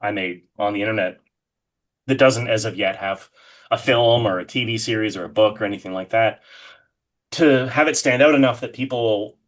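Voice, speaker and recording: A man's voice: lowish voice, moderate pace, a bit bright. A man chatting casually as an interview guest, rambling and enthusiastic, often hesitating as he works out his thought. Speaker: guest, horror artist being interviewed.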